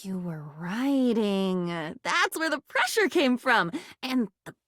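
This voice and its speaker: An actress voicing a video game character, a sentient diary woman who is intense and whimsical, musing poetically in halting phrases and sudden bursts. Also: a little high, big pitch swings, a bit bright, no echo, clean studio sound, exaggerated voice. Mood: animated, indignant.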